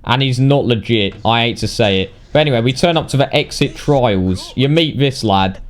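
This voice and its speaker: A British man giving casual, sweary, mock-indignant commentary on video game highlights. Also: moderate pace. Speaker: gaming video narrator.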